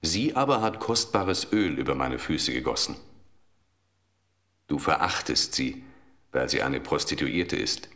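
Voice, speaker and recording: Biblical teacher for audio drama, extremely low, calm, deliberate, slow delivery. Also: male voice, full character voice. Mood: earnest, reproving. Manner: solemn, deliberate. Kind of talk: German religious audio drama.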